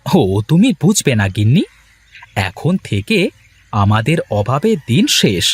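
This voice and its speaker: Husky, lively cartoon fisherman, cheerful and boastful, as voiced by a man. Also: medium pitch, unhurried, dry recording. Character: poor village fisherman turned eager trader. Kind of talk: Bengali cartoon voice acting.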